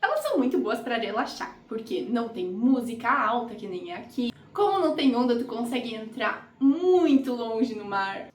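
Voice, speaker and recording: A woman's voice: a little high, sing-song, moderate pace, recorded from a distance, slight background noise. A young woman from Brazil, chatting casually in a travel vlog with jokey asides. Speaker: vlogger. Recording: roomy echo, off-mic.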